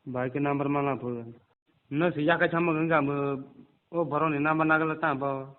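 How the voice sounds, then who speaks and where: A man's voice: on the high side, fairly even intonation, normal speed. A man talking casually in a back-and-forth phone conversation. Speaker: person receiving a prank phone call. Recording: tinny phone-like sound, background noise.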